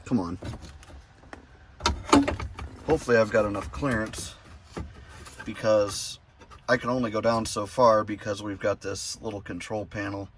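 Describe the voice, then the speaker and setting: Low-voiced man, casual DIY vlog, noisy. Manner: self-deprecating, crude, halting thinking aloud. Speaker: host of a DIY truck modification vlog. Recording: clear background noise and ambience.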